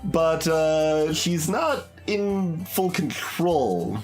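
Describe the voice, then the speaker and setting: A man's voice: on the high side, animated, extremely slow, faint music behind. A man giving casual, rambling playthrough commentary as a video game streamer, musing aloud as he goes.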